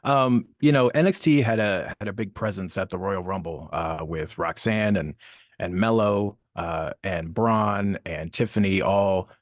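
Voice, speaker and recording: Man, lowish voice, dry sound, polite reporter, hesitant phrasing, press call question. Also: a little slow, no echo.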